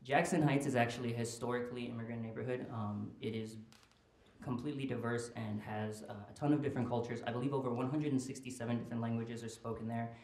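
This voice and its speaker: A man's voice: medium pitch, fairly even intonation, quick pace, a little mellow. A young man from Nepal who grew up in the United States, giving an informal presentation in a hesitant, explanatory way. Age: young adult. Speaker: student reporting fellow giving a presentation. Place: indoor conference room with small audience. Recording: roomy, echoing presentation room.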